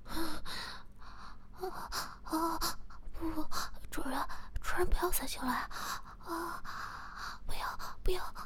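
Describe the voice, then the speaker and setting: A woman voicing a young woman who pleads and whimpers, with a breathy, broken whisper and many repeated words. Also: extremely high pitch, slow, long pauses, pitched above the performer's own voice. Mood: whimpering.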